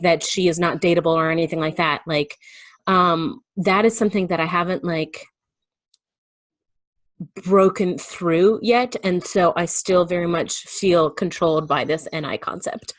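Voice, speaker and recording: Slightly low-voiced woman, close and dry. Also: dry recording. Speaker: guest. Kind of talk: casual reflective conversation.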